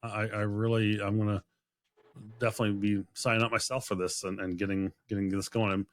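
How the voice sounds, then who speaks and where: A man with a fairly low voice hosts a podcast interview. He chats casually and effusively, rambling along and circling back over his own phrases. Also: little pitch movement, fairly quick. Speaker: podcast host.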